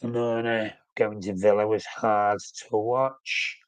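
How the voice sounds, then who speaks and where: A man's voice: lowish voice, fairly even intonation, slow pace, no echo. Snuffly man chatting on a live stream. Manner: rambling, self-correcting, reads out comments. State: snuffly with a flu. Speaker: fan channel host. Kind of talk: casual live-stream football chat.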